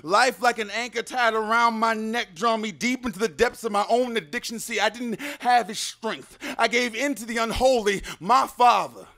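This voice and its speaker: A man with a strikingly high voice performs slam poetry. His delivery is rhythmic and dramatic, with emphatic phrasing throughout. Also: normal speed, gravelly, hardly any pauses, sharp tone. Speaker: slam poet. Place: live poetry slam on stage.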